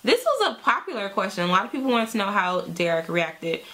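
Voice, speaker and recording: A woman's voice: medium pitch, very animated, brisk, fairly bright tone. A woman in her thirties chatting casually to camera on her channel, upbeat and full of "like" and "y'all". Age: 30s. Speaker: beauty vlogger.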